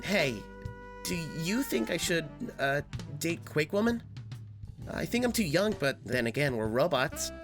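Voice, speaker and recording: A man acts out a bashful boy robot in a comic dub. He speaks slowly and hesitantly, awkward and lovestruck, with music clearly behind him. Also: fairly high pitch, very animated. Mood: unsure. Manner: hesitant, fumbling. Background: prominent music.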